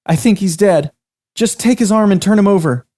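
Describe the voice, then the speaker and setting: A man doing a frantic young waitress, high and loud. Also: moderate pace, lightly acted. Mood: panicked. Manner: frantic high-pitched shouting. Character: panicked young woman waitress, pinned down.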